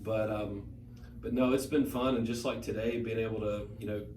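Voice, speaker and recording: A man's voice: on the low side, fairly even intonation, moderate pace, darkish tone. A man in his late thirties, a guest in a casual podcast chat, who rambles and restarts his sentences often. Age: 30s. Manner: rambling, many restarts and repeated words. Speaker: guest, brewery owner. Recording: echoey room, noisy, mic at a distance.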